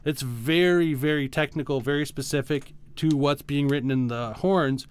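A man's voice: fairly high pitch, normal speed, clean tone. A man co-hosting a podcast, talking casually and technically about music in an informal way peppered with "like" and "you know". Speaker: podcast co-host.

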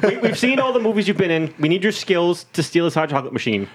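A man's voice: sits high, big pitch swings, quick pace, crowd noise. A man riffing casually as a podcast panelist, often repeating his words as he goes. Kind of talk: joking casual group chat.

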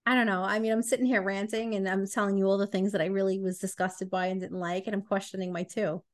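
A woman with a medium-pitched voice chats as a podcast co-host. She is blunt and opinionated, with slangy, conversational phrasing. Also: little pitch movement, quick pace. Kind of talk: casual book-review chat.

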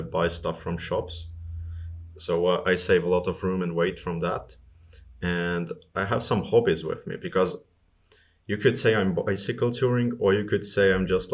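Very deep-voiced man, casual interview guest. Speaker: interview guest, bike-touring traveller.

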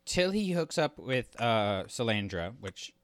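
A man's voice: mid-range voice, big pitch swings, unhurried, no room sound. A man co-hosting a podcast, giving his opinions on a story in casual conversation. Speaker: podcast co-host. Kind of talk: casual opinionated review chat.

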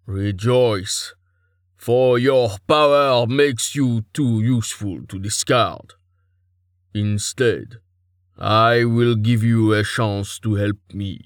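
Audiobook role: disdainful male god voiced by a male narrator, low, very slow, patronizing and commanding. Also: flat delivery, clean tone, no room sound, clean studio sound. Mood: cold, imperious. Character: stern, contemptuous male god, imperious and disdainful. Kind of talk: audiobook character acting.

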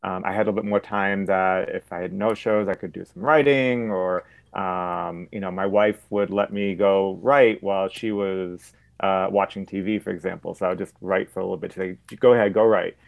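A man's voice: deep voice, fairly even intonation, moderate pace, vocal fry. A man talking casually as an author guest on a panel, in a matter-of-fact way with many fillers.

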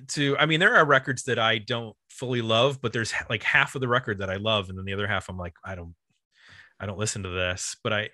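A man's voice: fairly low pitch, pushed and bright, no echo, clean studio sound. A man hosting a podcast, talking casually about music in a rambling, enthusiastic way. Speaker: podcast host.

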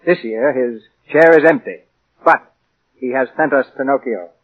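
A man's voice: medium pitch, normal speed. A man presenting a radio show in a formal, scripted 1940s style, grand and oratorical. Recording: muffled old radio broadcast with music.

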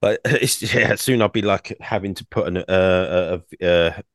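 A young man, co-hosting a casual podcast, rambling with many fillers and restarts, his throat croaky from singing. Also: deep voice, measured pace, a bit bright, no echo, studio quality. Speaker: podcast co-host.

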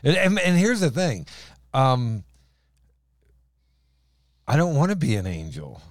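A man with a deep voice and strained vocal cords, hosting a casual Bible podcast, laughing often and restarting his sentences. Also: wildly swooping pitch, takes it slowly, no echo, clean studio sound. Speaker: podcast host.

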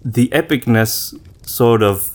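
A man's voice: medium pitch, slow pace. A man co-hosting a podcast in a casual, reflective discussion, hesitating and rephrasing as he goes. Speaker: podcast co-host.

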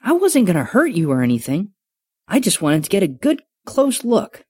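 Casting: woman playing a curious young boy, eager, swooping pitch, slightly breathy, dry close sound. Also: huge pitch swings, measured pace, darkish tone, dry recording, exaggerated voice. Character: curious boy, almost eleven, eager and polite. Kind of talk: audiobook character voice.

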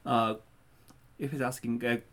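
A man's voice: medium pitch, fairly even intonation, a little slow, fairly bright tone. A man with a Japanese accent, a native Japanese speaker, casually explaining terms and often searching for words.

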